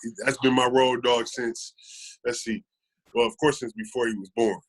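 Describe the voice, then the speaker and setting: This is an American man in his thirties with an average-pitched voice. He speaks as a guest in a casual interview, telling personal stories. His delivery is earnest, and he repeats phrases to make them land. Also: flat delivery, moderate pace, rough recording. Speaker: podcast guest. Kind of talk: casual conversational storytelling.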